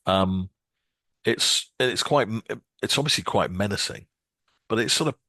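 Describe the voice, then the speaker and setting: A man with a low, deep voice chats casually about music as a podcast co-host. He speaks hesitantly, restarting and filling with ums, recorded dry and close with no room sound. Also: measured pace, pushed and bright, no room sound.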